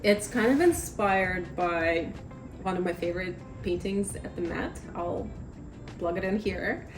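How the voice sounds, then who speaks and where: A woman in her thirties chatting casually in a vlog at her table at home, rambling and self-deprecating, her voice slightly raspy from talking too much. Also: lowish voice, measured pace, music in the background, low-quality recording. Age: 30s. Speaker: vlogger.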